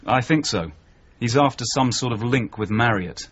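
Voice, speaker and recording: An actor playing an earnest, steady detective sergeant in a radio crime drama, measured and questioning in manner. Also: male voice, medium pitch, slightly rough. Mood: thoughtful. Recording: muffled 1980s radio drama recording.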